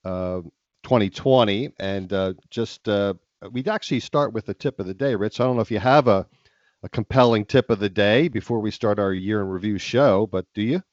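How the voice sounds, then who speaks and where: A man's voice: on the low side, normal speed, a little mellow, dry recording. Stuffy-nosed man co-hosting a podcast. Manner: rambling, many ums and restarts. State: stuffy from a head cold. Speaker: podcast co-host. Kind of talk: casual chat. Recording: dry, slightly dull sound.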